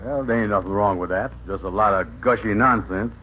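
An actor playing an unimpressed, dry and folksy buddy in an old radio sitcom. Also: male voice, fairly low pitch, fairly quick, close to an everyday voice. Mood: skeptical, teasing. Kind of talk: 1940s-50s radio sitcom acting. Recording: tinny old radio recording, hiss.

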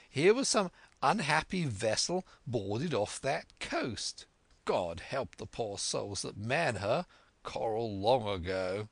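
A man voicing an excitable, blustering old country squire in an audiobook, eager and boastful. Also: mid-range voice, creaky, no echo, full character voice. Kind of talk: audiobook character voice.

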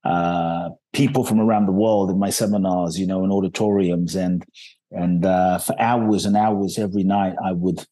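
A man telling his life story as a podcast guest, earnest and reflective, often pausing on "and so" and "uh". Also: deep voice, steady tone, dark tone. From Ghana.

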